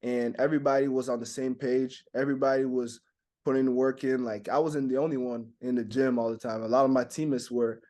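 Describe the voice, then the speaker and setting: A young man from Montreal who grew up speaking French talks through interview answers. His delivery is flat and even, and he keeps restarting phrases and padding them with fillers. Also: mid-range voice. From Montreal, Canada. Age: young adult. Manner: halting, many "you know" fillers and restarts. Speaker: basketball draft prospect.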